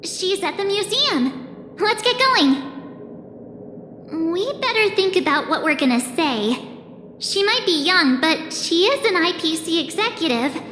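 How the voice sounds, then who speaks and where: An actress playing a perky, candid teenage girl adventurer in a video game, talking in an informal, animated, blurting way. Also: very high voice, a little slow, in a large hall, a little hiss or hum, exaggerated voice. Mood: eager, planning.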